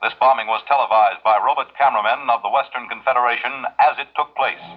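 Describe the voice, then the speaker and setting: A man's voice: mid-range voice, steady tone, quick pace, muffled recording, natural voice. A man playing a grandiose, solemn announcer in a 1950s radio drama, declamatory and portentous, on an old recording. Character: grandiose, solemn announcer of futuristic tales and war propaganda. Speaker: announcer and newsreel narrator.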